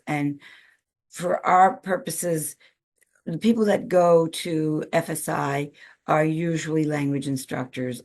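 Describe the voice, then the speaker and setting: Low, creaky-voiced woman advising applicants. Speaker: program staff member advising applicants. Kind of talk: informal explanatory question-and-answer talk.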